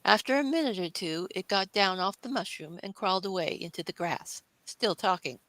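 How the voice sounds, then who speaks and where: A woman's voice: medium pitch, animated, normal speed, a bit bright, no echo, low-quality recording. A woman reading scripted storybook narration as the narrator of a classic tale.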